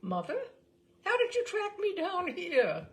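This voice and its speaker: A woman's voice: very high voice, huge pitch swings, slow pace, pitched above the performer's own voice, full character voice. A woman voicing a startled, affronted upper-class lord, a grown son exclaiming to his mother, in a comic story reading.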